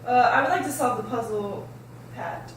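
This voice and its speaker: A woman's voice: a little high, normal speed, close to an everyday voice. A woman reading the part of a playful, competitive mother at a script table read, in an echoey room. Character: playful, competitive mother playing along with game show. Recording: echoey room, distant mic, faint noise.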